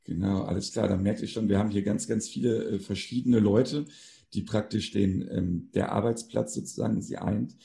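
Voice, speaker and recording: Gravelly, mellow male podcast host. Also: lowish voice, fairly even intonation, moderate pace. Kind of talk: casual moderated interview.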